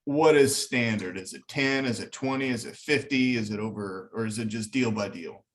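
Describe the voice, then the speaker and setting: A man with an average-pitched voice speaks up as an audience member on an online call. He puts his question to the host in casual, conversational speech. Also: normal speed.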